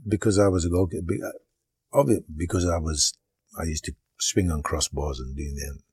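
A man's voice: sits low, steady tone, fairly quick, a little mellow, slightly rough, no room sound, studio quality. An older man who grew up in Zimbabwe, a goalkeeper coach answering interview questions and explaining his training step by step. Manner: explains step by step, plain and practical.

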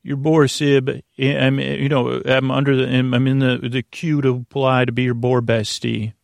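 A man with a creaky, mid-pitched voice hosts a bedtime podcast. He meanders through his monologue, doubling back and stumbling into fresh starts. The recording is very dry and close, with no room sound. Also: level tone, no room sound. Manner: meandering, halting, self-interrupting with frequent stammered restarts. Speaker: podcast host.

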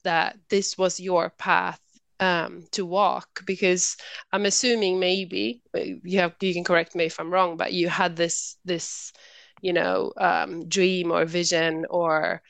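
Low, creaky-voiced woman hosting a podcast. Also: little pitch movement, slow, fairly bright tone, dry recording. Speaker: podcast host. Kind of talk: casual interview chat.

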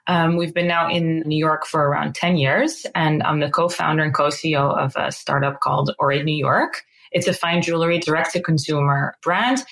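A woman's voice: low-pitched, normal speed, few pauses, a bit bright. A woman in her thirties from the Netherlands, a company co-founder chatting casually in an interview and using lots of fillers. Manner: chatty, fillers like "you know".

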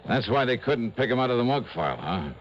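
A man's voice: medium pitch, big pitch swings, quick pace, a little hiss or hum, close to an everyday voice. A man playing a shrewd, casual private investigator who narrates his own case in a mid-century radio drama, breezy and wry. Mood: probing, musing. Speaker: lead actor of a radio detective series. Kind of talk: 1950s-60s radio drama acting and narration. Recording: dull old radio broadcast recording.

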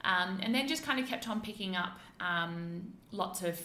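A woman's voice: medium pitch, lively intonation, moderate pace, pushed and bright, echoey room, slight background noise. A young woman from the UK with a mixed British and Australian accent, chatting openly as a podcast guest with frequent fillers and laughs. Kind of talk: casual interview chat.

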